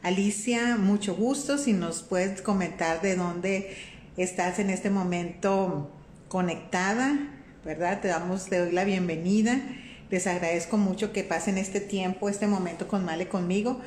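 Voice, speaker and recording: A Mexican woman hosting a faith-based live stream. She talks in a warm, conversational way, greets viewers by name and often checks in with "¿verdad?". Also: mid-range voice, steady tone, unhurried. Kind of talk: casual live-stream talk on faith and life. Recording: roomy echo with faint room noise.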